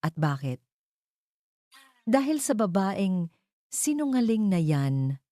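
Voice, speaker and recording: Haughty older matriarch, scornful and cutting, audio drama; lifted pitch, dry sound female narrator acting. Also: very animated, slow, warm tone, dry recording. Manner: hard, cutting, accusing. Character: stern, scornful older rich mother, disgusted and accusing.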